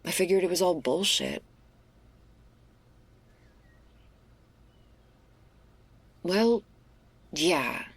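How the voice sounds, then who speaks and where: A woman playing a laid-back, restless stoner werewolf in an intimate ASMR roleplay, husky and gravelly, confiding in a casual, hesitant, slangy way. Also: lowish voice, expressive, measured pace, no room sound. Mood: matter-of-fact.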